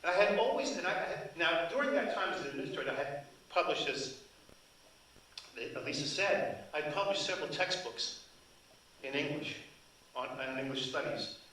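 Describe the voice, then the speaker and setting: Older man, Sicilian native speaker, chatty anecdotes, fairly high voice, echoey hall recorded from afar. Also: expressive, moderate pace, long pauses, bright, forceful tone, a little hiss or hum. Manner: chatty, rambling anecdotes with restarts. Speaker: translator and author. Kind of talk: storytelling talk at a book event. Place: event hall. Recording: echoey hall, mic from far.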